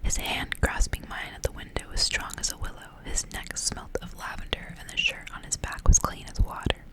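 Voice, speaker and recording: A woman whispering a literary fairy tale as an ASMR reading, in hushed narration. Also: moderate pace. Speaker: ASMR reader.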